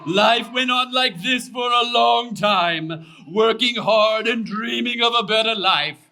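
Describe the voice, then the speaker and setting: A man voicing a pompous donkey puppet who tells stories to children in a deliberate, theatrical manner. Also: extremely high pitch, pushed and bright, full character voice. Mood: storytelling. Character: grandiose weary old-fashioned gentleman donkey storyteller puppet. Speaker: puppet show storyteller.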